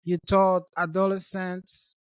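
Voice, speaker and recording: A man's voice: high-pitched, completely flat delivery, slow, smooth, no echo, studio quality. A man from Rwanda hosting a podcast, talking in a friendly, casual way and often repeating words as he thinks. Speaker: podcast host. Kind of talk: conversational interview.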